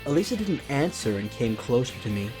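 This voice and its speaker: A woman's voice: extremely low pitch, big pitch swings, fairly quick. A woman hosting a gaming show, chatting casually with playful asides and reading a visual novel aloud. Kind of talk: casual let's-play chat and dramatic read-aloud of a visual novel. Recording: guitar game music behind the voice. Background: guitar game music.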